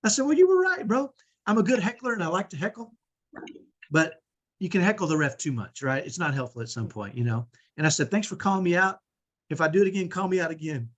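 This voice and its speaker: A middle-aged man with a strong, powerful voice, coaching parents in an energetic, emphatic way and often checking in with "right?". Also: sits high, fast talker, highs cut off. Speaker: host.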